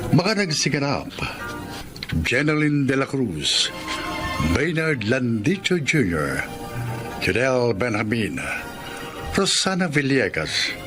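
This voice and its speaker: Announcer: Filipino man, unhurried and expressive, formal classic radio drama read over music. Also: medium pitch.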